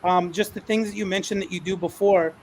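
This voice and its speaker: A man's voice: sits high, steady tone, fairly quick, darkish tone, a little hiss or hum, low-quality recording. A man hosting a panel discussion, speaking in an encouraging, affirming manner. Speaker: panel moderator. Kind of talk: moderating an online panel discussion.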